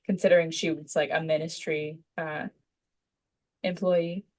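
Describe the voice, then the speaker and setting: A woman's voice: low voice, level tone, measured pace, darkish tone. A woman student giving thoughtful answers in casual chat, tentatively searching for her words. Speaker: voice acting student.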